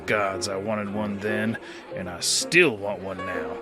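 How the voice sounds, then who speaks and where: Laconic, world-worn gunslinger, low voice and unhurried drawl, played by a man. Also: steady tone, music in the background. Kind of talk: first-person narration.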